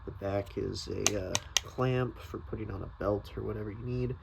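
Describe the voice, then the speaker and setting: A man's voice: on the low side, steady tone, moderate pace, creaky, very noisy. A man giving a casual, unscripted product review, thinking aloud in a hesitant, tentative way.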